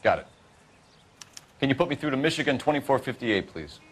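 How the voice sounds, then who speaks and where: A man's voice: mid-range voice, big pitch swings, a little fast, a little hiss or hum, close to an everyday voice. A man acting a clipped, businesslike American police detective in a 1940s crime video game, formal and authoritative.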